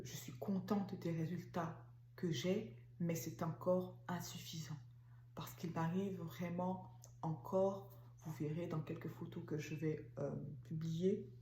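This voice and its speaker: A woman in her forties from France, talking slowly and haltingly with many fillers in a personal vlog. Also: fairly low pitch, mellow, roomy sound, a little hiss or hum. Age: 40s. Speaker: vlogger, massage therapist. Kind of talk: casual personal vlog talk.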